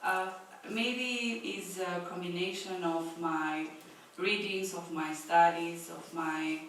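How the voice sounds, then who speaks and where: Woman, poet and translator, native Greek speaker, lowish voice, halting with fillers, distant mic, echoey hall. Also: a bit bright, slight background noise. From Albania. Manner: hesitant, many pauses and fillers. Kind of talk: answering questions and reading poetry aloud. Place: festival stage in a large hall.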